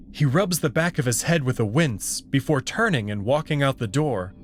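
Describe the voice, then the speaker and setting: A man reading expressive first-person narration for a visual novel story. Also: mid-range voice. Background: background music.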